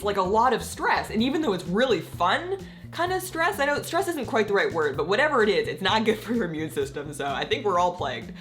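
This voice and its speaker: A woman vlogging casually about her convention trip, a little croaky from losing her voice, rambling with lots of filler words. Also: lowish voice, fairly bright tone. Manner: chatty, rambling, many "like" fillers, laughs often. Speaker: gaming content creator. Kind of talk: casual vlog chat.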